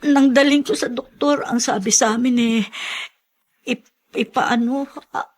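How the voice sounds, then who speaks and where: A woman playing an anxious, devoted, poor grandmother in a Filipino radio drama, speaking in a halting, pleading way. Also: fairly high pitch, fairly even intonation. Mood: flustered, apologetic.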